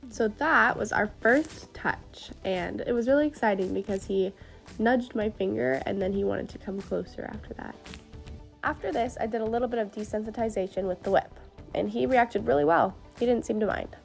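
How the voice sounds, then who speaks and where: A young woman with a stuffed-up nose from a cold narrates a training vlog, earnest and upbeat. Her voice has a gravelly, fry-touched edge, and soft music plays underneath. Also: medium pitch, moderate pace. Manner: upbeat and earnest, explaining step by step. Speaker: horse trainer. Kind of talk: voice-over narration of training footage.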